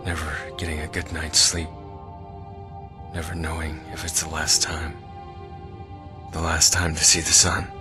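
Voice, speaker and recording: An actor playing a gruff, guarded guardian in a fantasy game, terse, menacing and world-weary. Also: male voice, a bass voice. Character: gruff, guarded male guardian, wary and threatening. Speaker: video game character. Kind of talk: scripted fantasy game dialogue.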